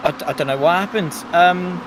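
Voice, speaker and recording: Self-deprecating British co-commentator. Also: male voice, high-pitched, fairly quick, noisy background. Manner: rambling, self-deprecating, laughs often. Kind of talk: live sim racing commentary and banter.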